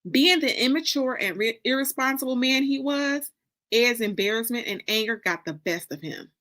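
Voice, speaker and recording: An American woman from Detroit giving a dramatic first-person story reading, with deliberate, expressive phrasing and pauses. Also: fairly high pitch. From Detroit, United States. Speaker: author reading from a novel.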